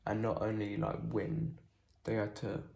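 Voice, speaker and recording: A young man with a cold and sore throat, talking quietly and casually about a football match, with lots of ums. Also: on the low side, very animated, unhurried, creaky. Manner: speaks quietly, holding back volume; rambling with many ums. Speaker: football fan vlogger. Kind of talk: casual post-match reaction.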